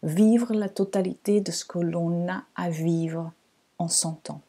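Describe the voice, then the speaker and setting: A woman from Portugal with a faint accent hosts a reflective astrology podcast. Her voice sits low and mellow, with a husky, breathy softness that sometimes sinks toward a whisper. She talks slowly and thoughtfully. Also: steady tone. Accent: light Portuguese-tinged French. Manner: slow, soft-spoken, breathy at times. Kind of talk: calm reflective monologue.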